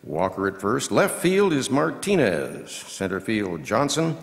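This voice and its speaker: Man performing low, swooping baseball announcer in reverberant hall. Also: wildly swooping pitch, vocal fry, full character voice. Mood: measured, folksy. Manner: measured asides breaking into punchy, drawn-out calls. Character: seasoned, folksy male baseball radio announcer. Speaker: reader performing a scripted baseball commentary. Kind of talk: baseball play-by-play commentary. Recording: long hall reverb from live venue.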